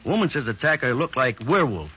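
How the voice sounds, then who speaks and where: An actor playing a nervous young newsboy witness in a 1940s radio drama, stammering and hesitant. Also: male voice, medium pitch, sing-song, fast talker, over loud music, close to an everyday voice. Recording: dull lo-fi 1940s radio broadcast.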